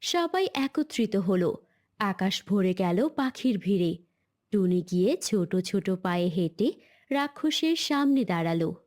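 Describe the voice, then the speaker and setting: A woman's voice: medium pitch, very animated, measured pace, a little mellow. A woman reading dramatic scripted narration for a cartoon story.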